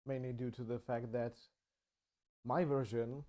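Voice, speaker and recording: Slow, mellow-voiced man. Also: mid-range voice, level tone. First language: Czech. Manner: slow, measured, thoughtful delivery. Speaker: channel host. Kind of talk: reflective personal narration.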